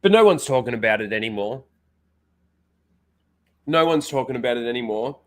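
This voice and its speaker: A man's voice: medium pitch, animated, moderate pace. Man rambling on a livestream. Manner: rambling, filler-heavy, reads out chat names. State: blocked nose. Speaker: live stream host. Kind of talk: casual rambling livestream chat.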